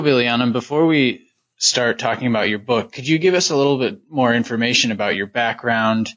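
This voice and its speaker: A man's voice: mid-range voice, fairly bright tone, muffled recording. A man hosting a book interview podcast, introducing his guest and asking conversational questions. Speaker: podcast host.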